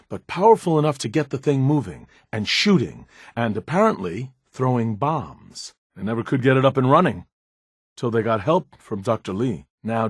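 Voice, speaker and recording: An earnest, bookish male scientist in a video game. His pitch moves around a lot and his voice has a slightly husky edge. He talks politely and a little hesitantly, in a close, dry recording. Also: no room sound. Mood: explanatory.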